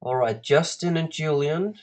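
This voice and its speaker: A man from Iceland with an Icelandic accent, teaching a live online lesson as a coach in a halting, deliberate way with long pauses. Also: on the high side, a little mellow. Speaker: guest chess coach. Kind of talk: live online lesson, talking through puzzles to students.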